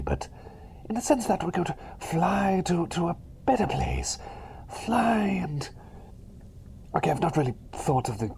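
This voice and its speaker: A man's voice: a little high, creaky, background noise, exaggerated voice. Man playing a whispery, eccentric, cheery plague doctor. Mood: excited, sheepish. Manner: whispery, rambling, hesitant, soothing. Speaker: ASMR roleplay performer. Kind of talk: whispered ASMR personal attention roleplay.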